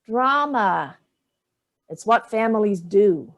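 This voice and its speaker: A woman's voice: medium pitch, lively intonation, slow pace. A woman in a staged play reading, playing an imperious, cutting grandmother with a dry, lofty manner.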